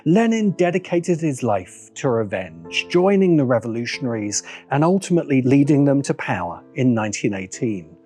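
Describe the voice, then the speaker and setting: A man delivering scripted documentary narration as a video essay host, in a deliberate, dramatic storytelling manner with pauses for emphasis. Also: medium pitch, a little mellow. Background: background music.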